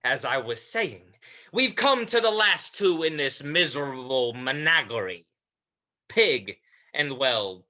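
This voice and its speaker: Casting: woman as menacing, scornful ringleader beast, theatrical stage delivery, slow and widely swooping. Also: very deep voice, animated, pushed and bright, heavily acted. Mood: mocking. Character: sardonic, menacing ringleader creature tormenting a teenage boy. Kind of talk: livestreamed stage play reading.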